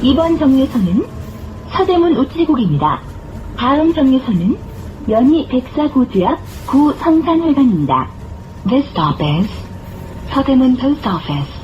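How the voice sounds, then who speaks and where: Woman, bus stop announcer, high lilting pitch, formal measured phrasing, vehicle noise behind. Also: very animated. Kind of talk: scripted transit announcement. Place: played over speakers inside a moving bus. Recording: muffled recording with clear vehicle noise. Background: bus engine and road noise.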